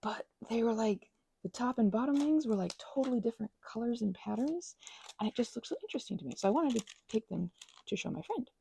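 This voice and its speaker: Woman, ASMR rambler, thick vocal fry, very mellow dark tone, meandering talk with whispers. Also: on the high side, animated, a little fast, a little hiss or hum. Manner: meandering, filler-heavy, drifting into whispers. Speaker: ASMR creator. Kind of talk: soft-spoken ASMR craft rambling. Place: indoors at home.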